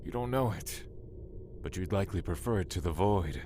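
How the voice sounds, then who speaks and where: A man playing a brooding, solitary god of the void in an audio drama, speaking in a measured, somber, terse way. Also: sits low, expressive, background noise. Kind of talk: audio drama acting with first-person narration.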